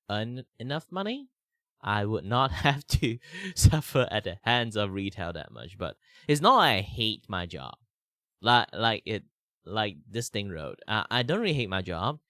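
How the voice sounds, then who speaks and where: Young male streamer, deep and slightly sick-sounding, casual rambling talk, dry mic. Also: fairly bright tone, no room sound. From Malaysia. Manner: rambling, many fillers and restarts. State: slightly sick, rough throat. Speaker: art streamer.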